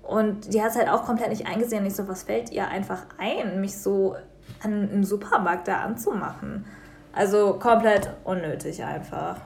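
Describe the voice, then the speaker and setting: A young woman from Germany co-hosting a radio talk show, telling everyday stories in a lively, casual way and acting out the people in them. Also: medium pitch, moderate pace, gravelly. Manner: lively storytelling, acts out quoted people.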